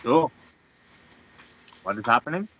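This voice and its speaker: A man's voice: medium pitch, expressive, a little slow, fairly bright tone, highs cut off, a little hiss or hum. A man playing a driven, suspicious journalist in a drama read-through, speaking in an insistent, clipped way. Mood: indignant.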